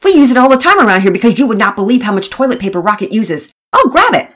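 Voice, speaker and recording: Woman putting on an eager young neighbor dude for a storybook, slangy and upbeat, pitched up high. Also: big pitch swings, fast talker. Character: cheery young tattooed neighbor man, helpful.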